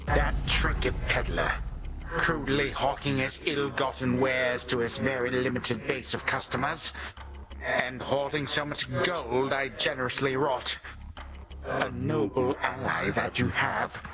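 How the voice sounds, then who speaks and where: An actor playing an ancient god of time in a video game, a sinister, condescending old villain who speaks in pompous, archaic, theatrical phrases and savors each word. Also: male voice, medium pitch, fairly even intonation, unhurried, natural voice, poor audio. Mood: scornful, smug.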